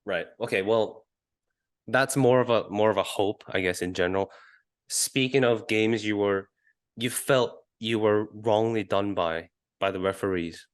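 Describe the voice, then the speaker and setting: Male podcast host, lowish voice, casual and hesitant sports talk, close dry sound. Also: unhurried, dry recording. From Asia. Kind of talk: casual football chat.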